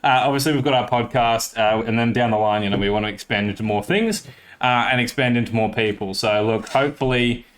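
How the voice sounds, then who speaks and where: Podcast co-host: young man, Australian accent, medium pitch, loose conversational delivery that wanders and doubles back. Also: a little fast. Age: young adult. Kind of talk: casual chat.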